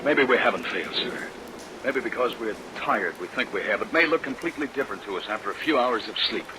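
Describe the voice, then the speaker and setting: A man's voice: on the low side, animated, fast, close to an everyday voice. A man playing a worried, respectful young assistant in a vintage radio play, speaking with earnest, dramatic insistence. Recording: very noisy vintage radio recording, heavy rain.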